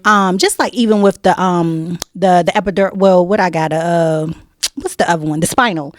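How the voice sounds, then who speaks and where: A woman hosting a podcast, talking conversationally and quick to affirm her guests. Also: lowish voice, no room sound. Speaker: podcast host. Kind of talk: casual podcast chat.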